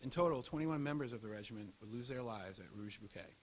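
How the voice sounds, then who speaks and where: A man's voice: mid-range voice, lively intonation, quick pace. Man narrating history over light hiss. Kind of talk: scripted documentary narration read aloud. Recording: audible hiss and background noise.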